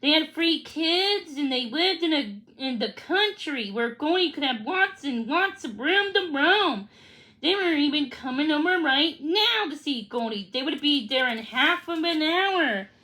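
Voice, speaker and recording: A woman with a high voice reads a children's story aloud. She takes her time, and her pitch moves around in a lively way. Her reading is halting, with small stumbles and repeated words. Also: rough recording. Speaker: read-along reader.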